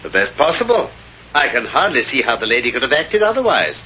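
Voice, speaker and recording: A man acts out a suave detective from a 1930s radio play. His talk is theatrical and dryly ironic, with animated rises and falls. The old recording is dull, with a light bed of noise. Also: medium pitch, brisk, natural voice. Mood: suave, persuasive. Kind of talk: 1930s radio drama acting.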